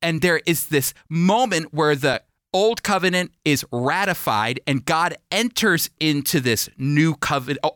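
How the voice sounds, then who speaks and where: A man with a somewhat high voice hosts a Bible teaching program. He explains scripture in emphatic, deliberate phrases that rise and fall. The sound is close and very dry, as in a studio. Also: fairly bright tone, no echo. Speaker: radio Bible teacher and host. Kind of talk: expository Bible teaching for broadcast. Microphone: close studio microphone, dry.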